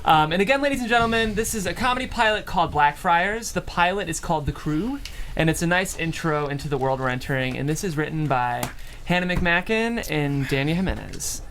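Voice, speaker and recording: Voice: mid-range voice, lively intonation, fairly quick, fairly bright tone, slight background noise. A host presenting a studio table-read show and reading out script directions. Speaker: host and stage-direction reader. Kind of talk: studio talk show hosting and script narration. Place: studio, several mics around a table.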